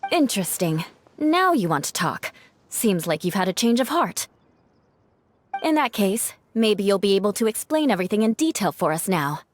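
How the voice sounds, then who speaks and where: A woman's voice: mid-range voice, sing-song, normal speed, slight background noise, lightly acted. An actress playing a cool, aloof noblewoman knight who questions others in a wry way. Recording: game cutscene with faint music.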